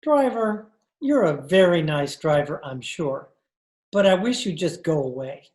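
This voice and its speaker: Radio drama lead: wry adventurer-narrator played by a woman, low register, echoey room. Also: expressive, a little slow, a little mellow. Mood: puzzled. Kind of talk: old-time radio drama acting, scripted narration. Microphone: video call. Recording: roomy video-call recording with music.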